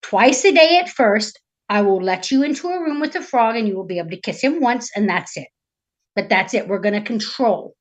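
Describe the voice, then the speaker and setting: A woman as a firm, worried fairy-tale king, high-voiced. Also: expressive, brisk. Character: stern, worried, coaxing king and father. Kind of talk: live storytelling of a fairy tale.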